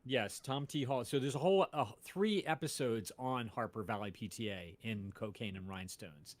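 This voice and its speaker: A man's voice: medium pitch, moderate pace, dry recording. A man, a podcast host, chatting casually about music with many fillers and restarts.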